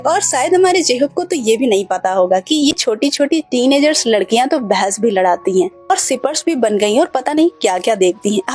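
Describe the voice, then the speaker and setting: A high-pitched woman tells a chatty fan recap with a bouncy, swooping delivery. Her voice has a husky, breathy edge. She sounds gossipy and talks straight to her fellow fans, with soft music faintly behind her. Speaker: fan channel narrator.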